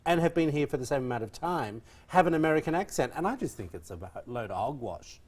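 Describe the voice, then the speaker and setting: A woman with a soft Australian accent co-hosting a casual daytime talk show, chatty and quick to laugh, stretching out words for effect. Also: low-pitched, animated. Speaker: co-host. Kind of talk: casual chat.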